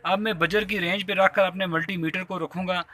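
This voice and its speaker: A man's voice: sits high, fairly even intonation, a little fast, bright, forceful tone. A man from Azad Kashmir with a Pakistani accent, explaining a hands-on repair step by step in a polite, informal, repetitive way into a handheld phone. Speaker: repair tutorial host. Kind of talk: informal hands-on tutorial narration. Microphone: handheld phone while working.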